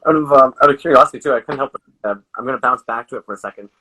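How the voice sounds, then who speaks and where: Quick-talking, bright-voiced male interviewer. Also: medium pitch, poor audio. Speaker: interviewer, host relaying viewer questions. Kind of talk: casual interview questioning.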